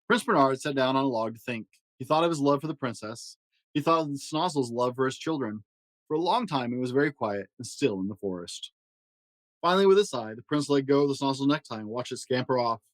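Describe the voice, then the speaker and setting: A man narrating a children's storybook aloud with expressive delivery. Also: mid-range voice, a little fast, dry recording. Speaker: storyteller and host.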